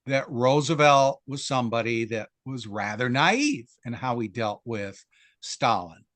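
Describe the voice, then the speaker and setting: A man's voice: medium pitch, animated, measured pace, a bit bright, dry recording. An older man with an American accent, speaking as a historian guest in an interview and thinking aloud in a discursive way. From United States.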